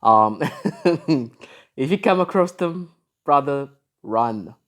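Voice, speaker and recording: A man's voice: mid-range voice, expressive, slow pace, fairly bright tone. Lecturing African man giving reaction commentary. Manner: preachy, lecturing, with many restarts and fillers.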